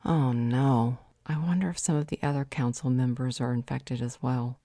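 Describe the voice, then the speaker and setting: Woman acting a low, mellow, thoughtful vampire. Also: steady tone, normal speed, dry recording, studio quality. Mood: thoughtful, curious. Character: thoughtful, strong-willed female vampire turned werewolf's mate. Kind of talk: roleplay audio drama acting.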